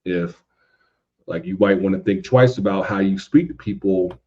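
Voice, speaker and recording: A man with a low, mellow voice talks casually and emphatically as a podcast host. He is opinionated, repeats himself for stress and swears freely, and the audio is a little dull and lo-fi. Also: normal speed. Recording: dull lo-fi sound.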